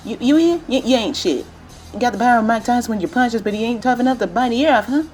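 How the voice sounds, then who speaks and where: Woman playing a high, swaggering female street fighter. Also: quick pace, a little mellow, faint music behind, natural voice. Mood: cocky taunting. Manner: trash-talking, slangy, swaggering. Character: brash cocky female breakdancing street fighter. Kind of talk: animated fight show acting.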